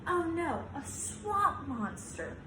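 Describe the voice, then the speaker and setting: A woman's voice: very high voice, big pitch swings, slow pace, pitched above the performer's own voice, heavily acted. Woman reading as an imaginative little boy, echoey. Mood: alarmed, excited. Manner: exclaiming, childlike phrasing. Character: imaginative young boy, boastful yet easily scared. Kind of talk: storybook read-aloud. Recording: roomy echo, clear background noise.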